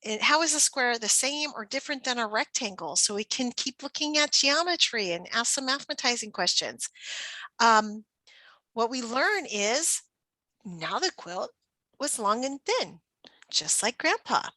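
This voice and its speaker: A woman presents as a teacher trainer with a bright, expressive voice on the high side. She explains in an instructional way and often puts questions as one would to young children. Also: a little slow, no echo, low-quality recording.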